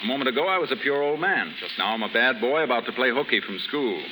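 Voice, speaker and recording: A man's voice: mid-range voice, lively intonation, quick pace, close to an everyday voice. Man playing a young wastrel, noisy old radio. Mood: wry, flippant. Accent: American. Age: young adult. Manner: aggrieved, wryly flippant. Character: aimless young wastrel son, aggrieved, wryly self-mocking, fond of drink. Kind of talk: 1930s radio acting. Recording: very noisy 1930s radio recording.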